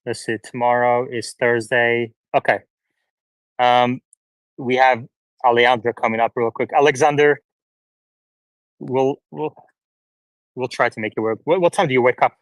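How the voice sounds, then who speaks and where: A man hosting a live audio space, casually chatting and moderating callers in a friendly, brisk way. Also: medium pitch, fairly even intonation, no echo, poor audio.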